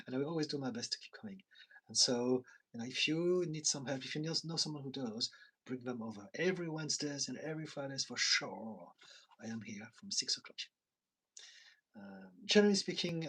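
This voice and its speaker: Tired French-accented man, husky voice. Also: medium pitch, poor audio. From France. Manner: chatty, laughs often, soft asides. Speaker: therapist hosting a live stream. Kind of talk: casual live-stream chat reading viewer comments. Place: home in London.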